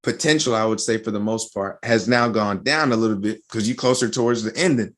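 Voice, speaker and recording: A man's voice: lowish voice, brisk. A man hosting a podcast interview, asking follow-up questions and often chiming in with short affirmations. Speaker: podcast host. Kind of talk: interviewing a guest.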